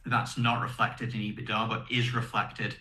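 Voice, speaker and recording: A man's voice: fairly low pitch, flat delivery, moderate pace, bright, forceful tone, echoey room. A man presenting company results on an earnings call in a methodical, explanatory way, point by point.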